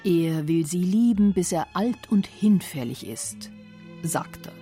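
A woman narrating a radio feature in a breathy, deliberate way. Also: lowish voice, lively intonation, dark tone, faint music behind. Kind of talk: scripted narration.

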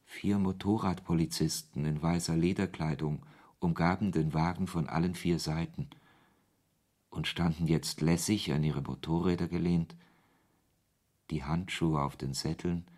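An actor playing a wry author who narrates a radio play in the first person, in a husky voice. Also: male voice, very deep voice, darkish tone. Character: male author narrating his absurd encounter, wry and irritated.

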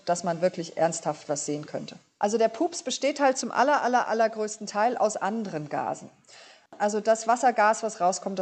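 A woman's voice: mid-range voice, a little mellow. A woman speaking as an expert guest, explaining informally and tentatively, repeating words for emphasis.

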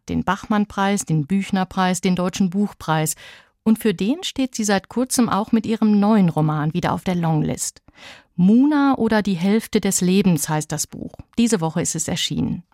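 A woman presenting a book programme as radio host, scripted, composed and measured. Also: mid-range voice, lively intonation, dark tone, no echo, studio quality.

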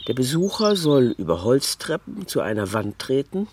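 A man's voice: mid-range voice, very animated, moderate pace, darkish tone, a little hiss or hum. A man reading a scripted literary documentary narration.

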